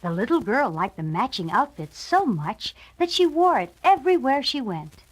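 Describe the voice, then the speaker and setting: A woman's voice: fairly high pitch, big pitch swings, normal speed, slightly rough, poor audio. A woman reading scripted narration for a children's read-along storybook.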